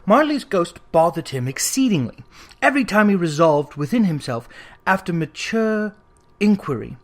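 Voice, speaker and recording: A man narrating a classic Victorian ghost story as an audiobook, in a theatrical, expressive storytelling manner. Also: high voice, normal speed.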